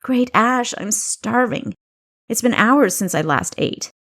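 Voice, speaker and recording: A woman's voice: a little high, expressive, takes it slowly, a bit bright, pitched above the performer's own voice, exaggerated voice. A woman voicing a sassy young female spy in an audiobook, cheeky and sarcastic.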